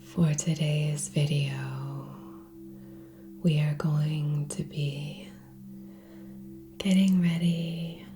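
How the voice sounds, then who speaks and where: A woman speaking soft, breathy ASMR in a near-whisper, gently hosting with long pauses between short phrases. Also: low-pitched, steady tone, a little hiss or hum. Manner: slow, gentle, hushed delivery with long pauses. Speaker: ASMR channel host. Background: relaxing music with singing bowl tones.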